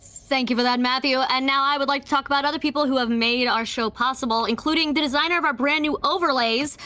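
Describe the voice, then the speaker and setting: A woman's voice: sits high, steady tone, quick pace, bright, forceful tone, a little hiss or hum. A woman co-hosting a gaming stream, giving casual, enthusiastic and effusive announcements. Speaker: co-host of a tabletop gaming stream.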